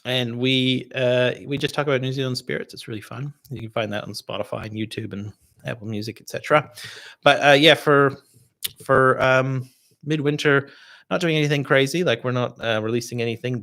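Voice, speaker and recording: A Canadian man with a North American accent, hosting a casual livestream and answering viewer questions in a relaxed, rambling way. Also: medium pitch. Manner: relaxed and rambling, with many fillers and restarts. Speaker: distiller hosting a livestream tasting.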